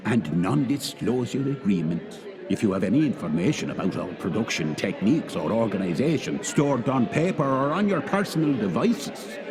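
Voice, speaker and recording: A man playing a smarmy chief executive in a radio play, husky, pompous and falsely upbeat as he delivers a halting corporate speech. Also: medium pitch, darkish tone, lightly acted. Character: smarmy male CEO coldly announcing mass layoffs. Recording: long echoing hall reverb.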